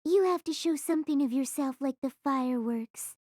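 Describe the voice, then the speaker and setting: A bold teenage schoolgirl, acted by a woman in an audio drama, with a high, soft-edged voice. She is teasing and pushy, a meddling friend, recorded dry and close. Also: normal speed, dry recording, lightly acted. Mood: urging.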